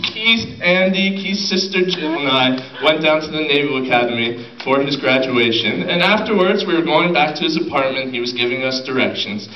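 A man in his thirties giving a prepared best man's wedding speech to an audience, in a halting way. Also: fairly high pitch, lively intonation. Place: large indoor wedding reception hall. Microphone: handheld PA microphone, picked up by a far camera. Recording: dull, echoey hall, distant camera, crowd noise. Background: crowd of guests.

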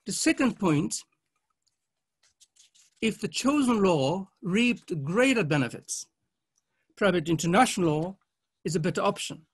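High-pitched man giving a formal academic talk. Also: lively intonation, slightly rough. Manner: measured, halting delivery in short phrases. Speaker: conference speaker, legal academic. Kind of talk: formal academic conference presentation read with slides.

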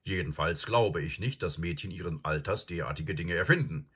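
A grave old professor acted out by a man, low and husky, calm and probing. He ponders each point with absent-minded hums, as in a storybook reading. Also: full character voice. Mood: musing, earnest. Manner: deliberate, pondering, with hums and murmurs. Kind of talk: audiobook character acting.

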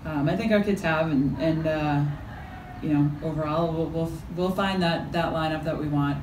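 A middle-aged woman coach speaks haltingly, restarting her sentences and filling pauses. She sits at a table mic some distance away in an echoey room. A steady noise hum sits beneath her. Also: deep voice. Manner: halting, many fillers and restarts. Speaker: head coach. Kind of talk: postgame press conference answers. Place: press conference room with backdrop. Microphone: table mic from a few feet.

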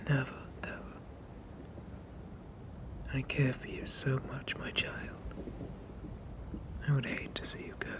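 A man voicing a protective, possessive sea monster father in a hushed, soothing ASMR roleplay with formal phrasing. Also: mid-range voice, monotone, slow pace, pauses often, lightly acted. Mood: tender.

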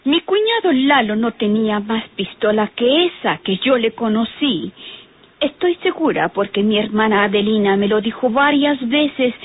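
An actress playing an earnest, formally polite woman giving testimony in an old radio drama, husky and deliberate, on a tinny recording. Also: fairly high pitch, exaggerated voice. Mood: assured, formal. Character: earnest unmarried sister-in-law giving testimony to a police inspector. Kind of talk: old Spanish-language radionovela acting.

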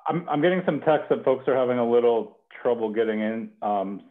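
A man around forty casually hosting a reading in a halting, reflective way, over a slightly dull video call. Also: medium pitch. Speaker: host of a poetry reading series. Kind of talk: casual, unscripted introduction. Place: home kitchen. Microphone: headset on a video call.

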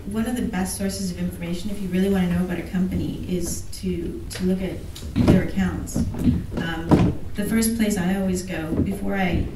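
A young woman speaking casually at an evening event, chatty and informal with a mid-range voice. She stands at a lectern mic in a large panelled room. The sound carries a lot of echo and clear background noise. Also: on the low side, fairly even intonation, moderate pace. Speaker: event host. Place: wood-panelled hall, large indoor room. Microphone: lectern mic, far camera. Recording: echoey hall from far off, noisy.

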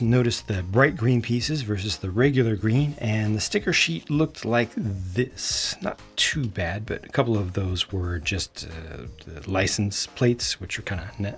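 Husky-voiced man reviewing toys. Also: mid-range voice. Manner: animated hand gestures, opinionated. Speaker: toy reviewer. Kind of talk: unscripted product review. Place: indoor room with storage shelves.

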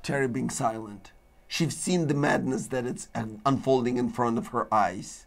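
A man with a gravelly voice hosts a small studio talk show, declaiming in long dramatic lists. His voice is strained and fading. Music plays clearly behind him. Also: medium pitch, normal speed. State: losing his voice. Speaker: show host. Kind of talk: rambling philosophical monologue. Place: studio with green-screen backdrop.

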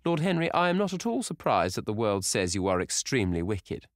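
A man voicing an excitable elderly society hostess who gushes and scolds in high exclamations. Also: brisk, no echo, clean studio sound. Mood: incredulous. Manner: gushing, scolding, shrill exclamations.

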